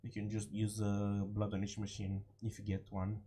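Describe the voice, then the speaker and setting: A man giving casual, unscripted game commentary, halting and repeating short phrases, his voice slightly hoarse from a cold. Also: on the low side, completely flat delivery, warm tone. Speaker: gaming video creator.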